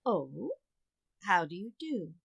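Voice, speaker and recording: A woman playing a firm, exasperated suburban wife in a radio sitcom, speaking in a measured, pointed, dryly sarcastic way. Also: on the low side, big pitch swings, creaky, fairly bright tone, no echo, studio quality, full character voice. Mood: polite, taken aback. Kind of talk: old-time radio sitcom acting.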